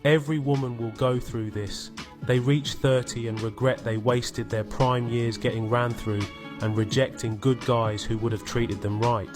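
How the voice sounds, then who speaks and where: A man of average pitch narrates in a scripted voice-over style, commenting as a reaction host would. Music plays clearly underneath his voice. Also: steady tone, normal speed. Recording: music playing behind the voice.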